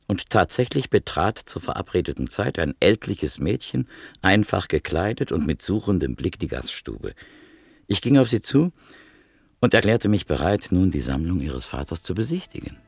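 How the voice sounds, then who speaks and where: Deep gravelly male narrator. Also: sing-song, normal speed, dry recording, clean studio sound. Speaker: narrator and visitor. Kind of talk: first-person radio drama narration.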